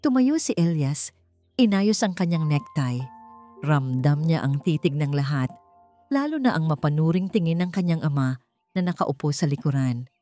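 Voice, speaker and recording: A Filipino woman narrates a dramatic story with measured suspense. Her voice sits a little low for a woman. Soft music plays behind her scripted delivery. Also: expressive, no room sound. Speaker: audiobook narrator. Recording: voice over background music.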